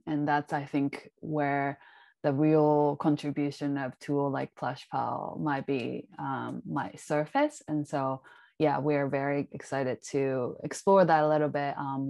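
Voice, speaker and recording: A woman researcher answering a question in a measured, slightly hesitant way on a video call. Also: very deep voice, vocal fry, darkish tone, dry recording. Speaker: presenting researcher. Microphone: laptop mic on a video call.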